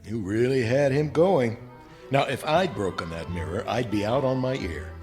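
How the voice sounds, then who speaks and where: Guy acting as friendly work pal in a radio play, really animated, ribbing and casual. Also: medium pitch, moderate pace, soft background music, natural voice. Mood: teasing. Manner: informal, ribbing. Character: breezy, good-natured male workplace buddy, teasing. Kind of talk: audio drama acting.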